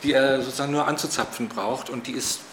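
A man in analytical interview talk, measured and carefully qualifying his points as he goes. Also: mid-range voice, fairly even intonation, background noise. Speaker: author interviewed on his subject.

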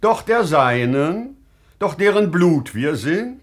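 Wise, humble parable-teller for classical radio drama: unhurried, deliberate delivery with widely swinging intonation. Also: male voice, fairly high pitch, big pitch swings, exaggerated voice. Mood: earnest, insistent. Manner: measured, deliberate, dramatic phrasing with weighty pauses. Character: wise, humble storyteller addressing a sultan with a parable. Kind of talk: classical German stage-style radio play acting.

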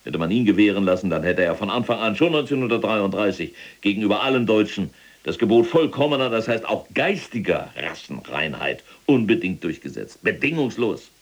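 An imprisoned SS general for an audio drama, a self-justifying fanatic of mature years. His voice sits very low and has a husky rasp. He speaks pompously, as if lecturing, and bristles at contradiction. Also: lively intonation, brisk. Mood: fanatical, lecturing. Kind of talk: German audio drama acting, monologue and argument.

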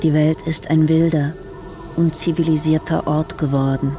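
Casting: actor as grave herald of a wasteland, higher male voice, monotone, radio play. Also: moderate pace, close to an everyday voice. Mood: grave, ominous. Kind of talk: radio drama acting. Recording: noisy insect and outdoor ambience.

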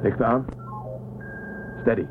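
A decisive space commander, played by a man in a science fiction radio play. His medium-pitched voice is brisk and full of authority. It comes through a thin band with clear noise behind it. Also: close to an everyday voice. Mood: brisk, businesslike. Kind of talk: science fiction audio drama acting. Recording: narrow, noisy lo-fi radio drama sound.

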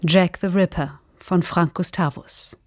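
Low, unhurried woman announcer. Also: steady tone, heavy background noise. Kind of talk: scripted credits announcement.

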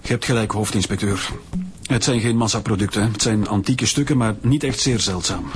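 An actor playing a methodical, authoritative police director in a radio drama, speaking. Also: male voice, lowish voice, fairly quick, slight background noise, close to an everyday voice.

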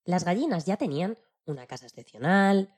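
A woman with a husky voice narrating a rhyming children's tale in a playful, declamatory, theatrical way. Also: fairly low pitch, sing-song, a little fast, a little mellow. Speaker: storyteller.

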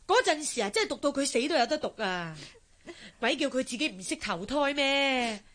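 Bright, high-voiced exasperated mother. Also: sing-song, moderate pace, slight background noise. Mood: bitter complaint. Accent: Hong Kong Cantonese. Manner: scolding, complaining, melodramatic. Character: exasperated, bitter, poor widowed mother. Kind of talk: Cantonese radio drama acting.

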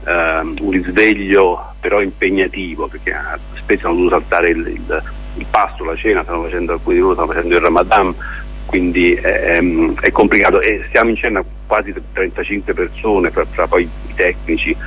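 A man answering as a theatre director in a radio interview, explaining in a halting, deliberate way with pauses and repeated words. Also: deep voice, fairly even intonation, a bit bright. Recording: muffled, lo-fi radio interview with noise.

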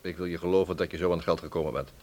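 An actor playing a polite, inquisitive detective inspector in a Dutch radio play. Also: male voice, low-pitched, steady tone, racing, a little mellow, natural voice. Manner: courteous, inquisitive. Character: brisk, polite Scotland Yard detective inspector.

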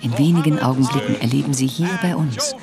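A man's voice: mid-range voice, fast, creaky, lightly acted. A man playing a polished talk show announcer formally welcoming viewers to a show in a radio play. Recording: music and studio audience behind.

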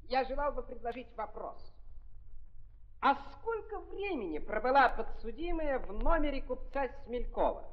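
A man's voice: very high-pitched, sing-song, normal speed. A pompous prosecutor in vintage theatrical style. His intonation swings widely as he puts formal, insinuating questions. The recording is old and dull. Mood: needling. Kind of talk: 1930s theatrical radio drama acting. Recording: muffled 1930s archive recording.

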